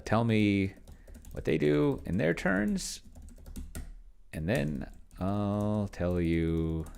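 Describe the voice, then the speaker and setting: A man giving casual live commentary as he plays a tabletop game solo, rambling and hesitant as he thinks out loud. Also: deep voice, creaky, slight background noise. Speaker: solo tabletop game player and video host.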